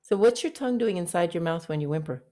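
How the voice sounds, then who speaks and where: Woman voice teacher, low-voiced, on a video call, explaining and demonstrating. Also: lively intonation, quick pace, warm tone. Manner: explains briefly, then sings short demonstration notes. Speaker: vocal coach. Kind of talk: online voice master class.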